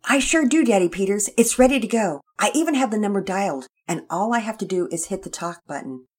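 A woman voicing an eager young boy who shouts with excitement. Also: medium pitch, a little fast, slightly rough, pitched above the performer's own voice. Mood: excited.

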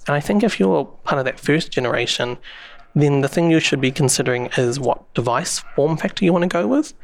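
A man's voice: on the high side, lively intonation, fairly quick, gravelly. A man with a New Zealand accent, answering interview questions in an explanatory way, with many fillers and restarts. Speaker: interview guest, public safety software expert.

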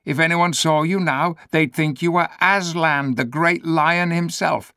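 A man's voice: on the high side, measured pace, pushed and bright, smooth, no echo, clean studio sound, voice pushed up. A man voicing a crafty, bossy male ape who whines and coaxes in a storybook reading. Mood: persuasive. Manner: whiny, persuasive, self-pitying. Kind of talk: storybook read-aloud.

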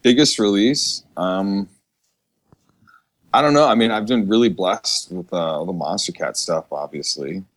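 Low-voiced man chatting casually. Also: a little slow. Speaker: guest, musician. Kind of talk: casual podcast chat.

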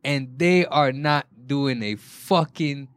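A man's voice: medium pitch, slow pace, no echo, clean studio sound. A man bantering casually as a podcast host, cutting in with repeated phrases and profanity.

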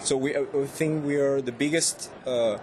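A man's voice: medium pitch, fairly even intonation, moderate pace, background noise. A young man from Venezuela with a Venezuelan Spanish accent, a PhD student explaining his research in an interview, hesitant with many restarts and fillers.

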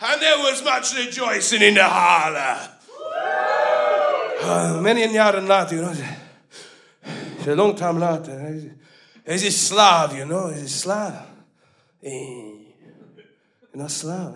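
A man performing a comic retelling of an old epic on a club stage, in broken mock-foreign English, bursting into shouts and swearing. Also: high voice, gravelly. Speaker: comedy storyteller. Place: small comedy club stage.

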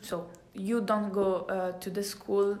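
Slow-talking teenage Italian girl, roomy echo. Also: mid-range voice, level tone, a little mellow. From Italy. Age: teenager, high school student. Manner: halting, searching for words in learned English. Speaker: podcast guest, exchange student. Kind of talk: casual interview chat.

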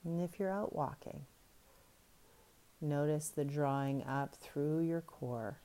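A woman guiding a breathing meditation in soft, soothing, unhurried instructions. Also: deep voice. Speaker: meditation guide.

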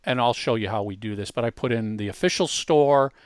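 A man's voice: medium pitch, a little fast, clear, resonant tone, no room sound, studio quality. A man hosting a casual screen-share tutorial, explaining things informally step by step. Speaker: host demonstrating a music marketing tool.

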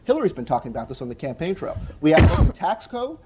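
Young guy on a panel, slightly high voice, rattles off points fast, keeps saying right?. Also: very animated, heavy background noise. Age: young adult. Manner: rapid, earnest, lots of restarts and "right?" tags. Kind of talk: panel discussion answer. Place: conference hall with audience.

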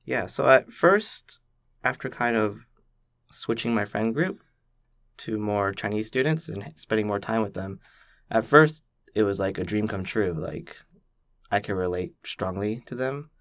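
A young man from Canada, thoughtfully telling his own story as a podcast guest, with many pauses and "like" fillers. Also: lowish voice, animated, a bit bright, no room sound. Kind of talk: reflective personal storytelling.

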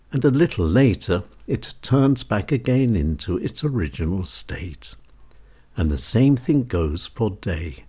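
A man reading a scripted essay in a measured, deliberate way, pausing often between phrases. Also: on the low side, warm tone, slightly rough. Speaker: essayist reading own work.

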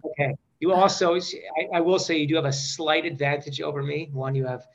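A man's voice: a little high, fairly even intonation, fairly quick, lo-fi sound. A man in his forties hosting a podcast, bantering in a joking, self-deprecating interview style. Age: 40s. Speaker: podcast host.

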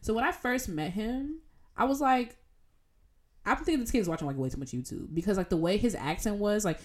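A young adult woman chatting casually as a student co-host, telling stories in an animated, informal way with quoted asides. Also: medium pitch, a little fast. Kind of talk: casual chat.

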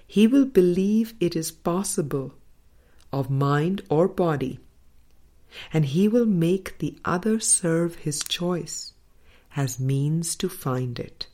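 A low-voiced woman reads a spiritual audiobook at an unhurried pace. Her phrasing is deliberate and measured, with frequent pauses between short phrases. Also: animated, dark tone. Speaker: audiobook reader. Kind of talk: scripted spiritual audiobook narration.

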